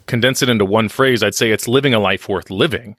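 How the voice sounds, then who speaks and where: A man's voice: lowish voice, quick pace, a bit bright, dry recording, clean studio sound. A man talking candidly in a podcast interview, reflective and self-deprecating, often restarting his sentences. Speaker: podcast guest on leadership and success.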